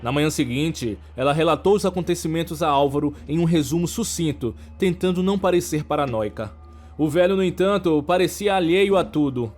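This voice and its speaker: A man with a Brazilian accent narrating a scripted horror story in a measured, dramatic way. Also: fairly high pitch, a little mellow, faint music behind.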